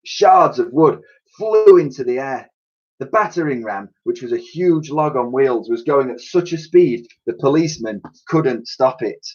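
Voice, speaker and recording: A man reading a children's storybook aloud in an expressive, dramatic way, as a teacher would to pupils. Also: medium pitch, measured pace. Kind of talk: animated children's storybook narration. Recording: dull, lo-fi recording.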